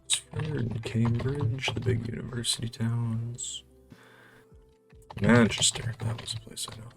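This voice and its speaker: Male quiz player, medium pitch, creaky and hushed, casual self-directed muttering. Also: fairly even intonation, measured pace, loud music behind the voice. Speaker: challenge player. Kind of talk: casual thinking aloud while playing a geography quiz.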